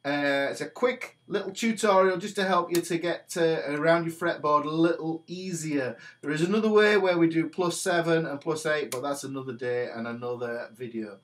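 A man's voice: fairly high pitch, normal speed, hardly any pauses, fairly bright tone. A man in his forties with a stuffy nose from a cold, casually teaching a guitar lesson from a small home studio while he plays. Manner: chatty, talks while playing guitar. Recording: guitar notes under the voice. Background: guitar playing.

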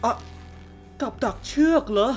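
A woman's voice: measured pace, natural voice. A woman voicing a goofy, cocky but easily spooked young male hero in a game fan dub, hesitant and flippant. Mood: startled, nervous. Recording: music behind the voice.

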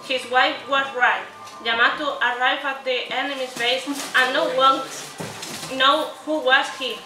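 A woman's voice: sits high, steady tone, a little slow, bright, forceful tone. A young woman reading scripted narration aloud for a puppet show in a room. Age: teenager or young adult. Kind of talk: scripted story narration, read from paper. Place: indoor room beside a puppet theatre. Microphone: far camera. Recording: echoey room, far camera, music behind.

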